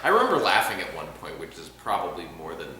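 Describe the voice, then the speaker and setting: Male film reviewer, lowish pitch, sarcastic rambling delivery, very reverberant room with faint hiss. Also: wildly swooping pitch, fast talker, pushed and bright. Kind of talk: casual chat. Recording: very echoey room, faint noise.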